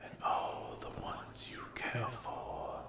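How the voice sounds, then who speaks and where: A man playing a menacing, hungry sleep paralysis demon in a whispered ASMR roleplay, breathy and deliberate, taunting the listener. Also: echoey room, heavy background noise, lightly acted. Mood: ominous warning. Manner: very slow, deliberate, menacing, with long pauses.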